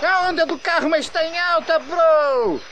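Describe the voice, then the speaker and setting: Brazilian cartoon hippie monkey, high voice, spacey surfer drawl, noisy sound. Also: male voice, unhurried. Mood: dreamy. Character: laid-back mystical hippie surfer monkey pilot. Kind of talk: animated cartoon dub.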